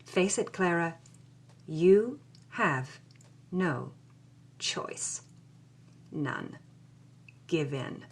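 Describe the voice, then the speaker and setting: An actress playing a cold, haughty older grandmother in a menacing dramatic letter monologue, with a husky voice. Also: lowish voice, expressive, heavily acted. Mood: gloating, imperious. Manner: haughty, menacing, deliberate emphasis. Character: cold, vindictive older wealthy grandmother reading her threatening letter.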